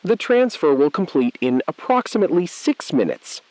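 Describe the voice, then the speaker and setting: A cool, calculating bank insider, played by a man for an audio thriller. He speaks in precise, measured phrases with a careful evasiveness. The sound is dry and close. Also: on the high side, big pitch swings, a little mellow, dry recording. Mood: controlled. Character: calm, calculating male bank insider, coolly complicit. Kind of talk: scripted audio thriller acting.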